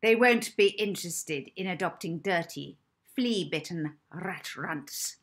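A woman's voice: fairly low pitch, lively intonation, slow. A woman voicing a stern, contemptuous orphanage mistress in a children's storybook, haughty, cutting and emphatic. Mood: scornful, commanding.

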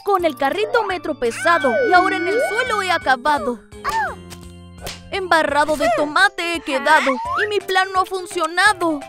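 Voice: very high voice, moderate pace. An actor voicing an eager childlike lion cub in a rhyming children's cartoon, in a sing-song, exclaiming way. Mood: excited. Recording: children's music behind the voice.

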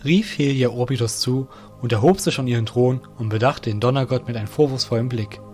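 A man's voice: medium pitch, fairly quick, darkish tone, soft background music. A man narrating a scripted fantasy audio drama.

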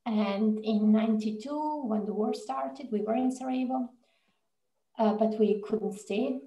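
A woman's voice: on the high side, measured pace, warm tone. A woman, telling her story conversationally as an interview guest on a video call. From Bosnia, with years in Mexico and Austria. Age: 40s. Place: indoor room on a video call. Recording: echoey room on video call.

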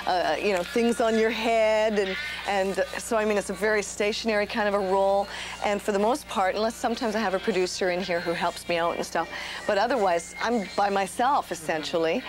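Animated woman radio DJ chatting. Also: medium pitch, brisk, rough recording. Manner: animated, talks with her hands. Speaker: radio disc jockey. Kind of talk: casual panel chat. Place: TV studio set.

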